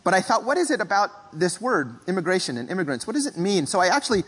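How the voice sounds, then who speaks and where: A man from the Philippines preaching a sermon in a measured, reflective way, often clearing his throat. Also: sits high, big pitch swings, a bit bright, big-room sound, low-quality recording. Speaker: pastor.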